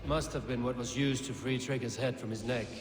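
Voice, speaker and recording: Grave male warrior investigator over loud music, acted. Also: medium pitch, fairly even intonation, natural voice. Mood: grave, analytical. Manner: measured, deductive, terse. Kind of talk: dramatic video game acting.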